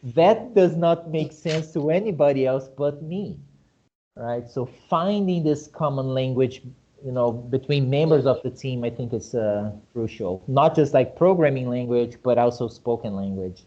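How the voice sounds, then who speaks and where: A man's voice: a little slow, mellow. A man from Brazil with a Brazilian Portuguese accent, whose first language is Portuguese, explaining points animatedly in a casual discussion and often asking rhetorical questions. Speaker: host. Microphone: video call. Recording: muffled low-fidelity video call audio.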